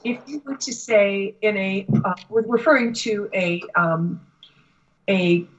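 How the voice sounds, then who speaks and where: A woman giving a spiritual reading to a client in halting, deliberate phrases with long pauses. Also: medium pitch, highs cut off. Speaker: Akashic Records reader, guest.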